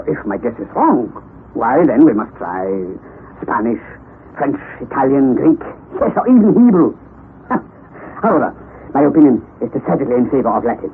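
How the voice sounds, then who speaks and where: An actor playing an eccentric, obsessive older professor in an old-time radio drama, theatrical and domineering, muttering and declaiming. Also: male voice, mid-range voice, natural voice. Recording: tinny, narrow, noisy vintage radio recording.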